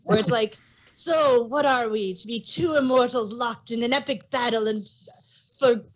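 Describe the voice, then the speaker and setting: A woman's voice: on the high side, normal speed, low-quality recording. A woman chatting casually and informally as the co-host of a podcast. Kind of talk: casual chat.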